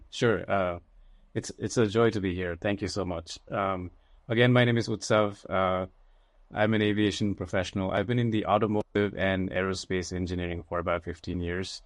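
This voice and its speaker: A man in his forties from Nepal, a guest on a video call with a headset, answering calmly and mixing English and Nepali. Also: sits low, moderate pace, no echo. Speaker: guest, aviation professional.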